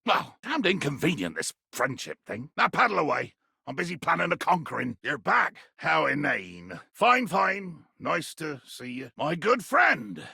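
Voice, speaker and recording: An actor playing a small, hot-tempered, pompous pirate in a video game, with a gravelly, raspy voice and florid, theatrical, old-fashioned phrasing. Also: male voice, on the high side, very animated, unhurried, bright, forceful tone, no room sound, clean studio sound, exaggerated voice. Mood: grumpy, hostile, then grudging. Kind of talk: video game character acting.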